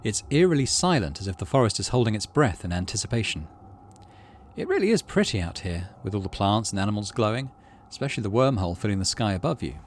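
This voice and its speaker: Lively low-voiced man narrating a game. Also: fast, slight background noise. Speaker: gaming video host. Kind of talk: reading visual novel text aloud with casual commentary.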